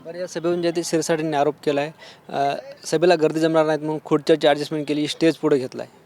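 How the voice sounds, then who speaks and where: A man's voice: a little high, flat delivery, fast, darkish tone. A man with a Maharashtrian Marathi accent putting questions as a TV news reporter. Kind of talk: interview questioning.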